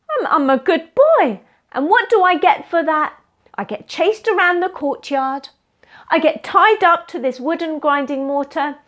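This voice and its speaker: Lady doing cheeky little boy in a storybook, high voice, justifying himself, slow. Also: a bit bright, full character voice. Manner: childlike, pleading self-justification. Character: naughty, self-righteous little boy god. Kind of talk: children's storytelling.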